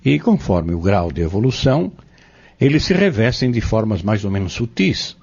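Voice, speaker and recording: A man playing a patient, earnest Spiritist teacher in an audio drama, explaining step by step in a measured, didactic way. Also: fairly low pitch, very animated, vocal fry, a little mellow, breathy edge, heavily acted. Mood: earnest, explanatory. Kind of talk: scripted audio drama dialogue. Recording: dull lo-fi audio.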